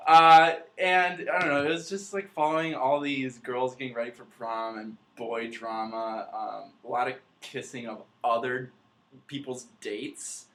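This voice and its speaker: A man's voice: mid-range voice, steady tone, measured pace, fairly bright tone. A young man joining a podcast chat, hesitant with a dry humor. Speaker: podcast guest reader. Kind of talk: casual chat.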